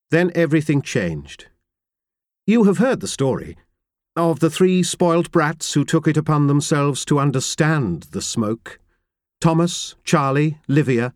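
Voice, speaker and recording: Slow, expressive male audiobook narrator. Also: medium pitch, no room sound, clean studio sound. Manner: measured, reflective, grave storytelling. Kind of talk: first-person literary narration.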